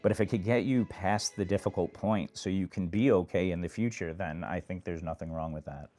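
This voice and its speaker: A man from New York hosting a late-night phone livestream, giving earnest advice to viewers in a monotone way. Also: deep voice, brisk. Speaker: livestream host. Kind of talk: casual live chat answering viewers.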